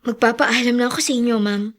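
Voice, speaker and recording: An actress playing a defiant, principled household maid in a radio drama, speaking firmly and emphatically. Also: female voice, a little high, fairly even intonation, brisk, natural voice. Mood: polite, resolute. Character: defiant, principled young household maid standing her ground. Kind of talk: Tagalog radio drama acting.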